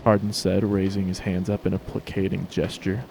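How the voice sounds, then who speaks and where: A man's voice: low voice, level tone, moderate pace, creaky, dark tone, slight background noise, low-quality recording. A man narrating a story as a scripted dramatic reading.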